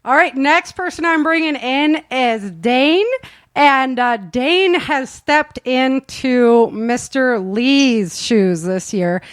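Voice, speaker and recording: A woman's voice: sits high, slow pace, smooth, no room sound, clean studio sound. A woman hosting a casual live interview chat, talking in a chatty, effusive way as she introduces performers.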